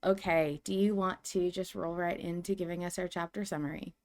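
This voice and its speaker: A woman's voice: lowish voice, fairly even intonation, fairly quick, darkish tone. A woman co-hosting a podcast, chatting casually as a fan. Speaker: podcast co-host.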